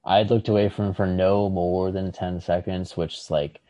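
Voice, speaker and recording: A man co-hosting a podcast, reflecting casually and haltingly, circling back as he searches for the right words. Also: sits low, flat delivery, mellow, dry recording, highs cut off. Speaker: podcast co-host.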